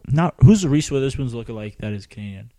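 Animated young man, lively joking. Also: mid-range voice, a little fast. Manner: animated, jokey, slips into mocking voices. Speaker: podcast co-host. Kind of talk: casual chat. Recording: noisy background hiss.

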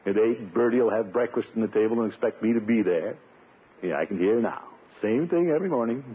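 An actor playing a playful, grumbling middle-aged bachelor uncle in a blustery 1950s radio sitcom style. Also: male voice, fairly low pitch, animated, fairly quick, husky. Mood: weary griping. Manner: blustery, wheedling, theatrical grumbling. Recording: muffled, narrow-band 1950s radio recording, hiss.

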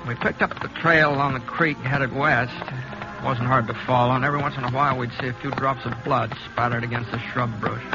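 An actor playing a laconic, steady Old West drifter who tells his tale in a folksy, understated way in 1950s radio drama style. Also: mid-range voice, brisk, lightly acted. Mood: understated, narrating. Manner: folksy, understated, plain-spoken. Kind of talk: 1950s Western radio drama acting and first-person narration. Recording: muffled old 1950s radio recording, music behind.

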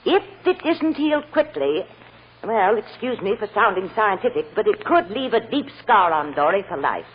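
An American actress playing a brisk, plain-spoken child psychologist in an old radio drama, firm and folksy. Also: female voice, medium pitch, big pitch swings, natural voice. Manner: no-nonsense, folksy, decisive. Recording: dull old radio recording with hiss.

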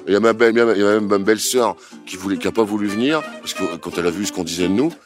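A man's voice: fairly low pitch, very fast, over music. A man attending a festival, talking earnestly and enthusiastically in a casual interview. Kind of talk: casual vox-pop interview.

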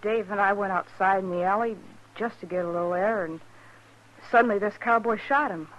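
A woman's voice: medium pitch, brisk, fairly bright tone, dull audio, lightly acted. An actress playing a timid, frightened American saloon girl in a 1950s radio western. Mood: scared, insistent. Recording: vintage radio broadcast.

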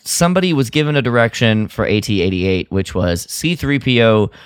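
A man co-hosting a podcast in casual review chat, halting as he thinks aloud and gives his opinions. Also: fairly low pitch, expressive, clean tone, no echo, studio quality. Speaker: podcast co-host. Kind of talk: casual review chat about a radio drama.

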